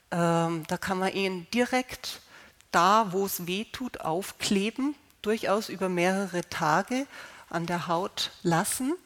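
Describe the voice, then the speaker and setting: A woman with a slight cold calmly explaining how to use healing stones, unhurried, with fillers and restarts. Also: fairly low pitch. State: slight cold, clearing throat. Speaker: gemstone healing presenter.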